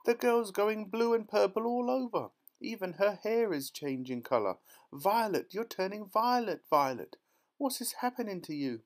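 A man voicing a panicky, outraged mother with shrill, frantic exclamations in a children's storybook. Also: lively intonation, normal speed. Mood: panicked. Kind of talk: dramatised children's storybook reading.

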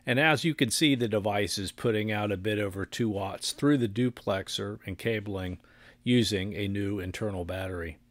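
A middle-aged man presenting radio equipment in scripted technical narration, with measured, formal and precise wording. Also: fairly low pitch, no room sound.

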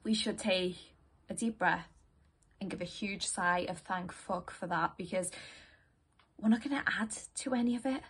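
A woman performing a dramatic stage monologue as a distraught, bitter, grieving partner in a couple, husky, halting and raw. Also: fairly low pitch, a bit bright, heavily acted. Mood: brittle, forced relief.

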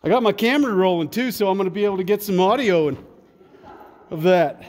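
A man's voice: high voice, quick pace. A man narrating a casual off-the-cuff vlog, rambling and thinking aloud in a folksy way. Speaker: vlogger exploring a cave. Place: cave trail.

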